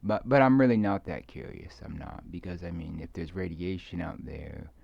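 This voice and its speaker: A man giving a rambling, casual monologue to viewers, halting and repeating his words as he trails off. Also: low voice, creaky, darkish tone, slightly rough, no echo, muffled recording. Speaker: video creator speaking to viewers.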